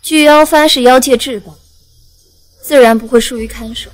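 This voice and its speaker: A woman playing a bold, generous fox-clan demon lord in an audio drama, confident and brisk. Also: high-pitched, natural voice. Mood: steady. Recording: soft music behind.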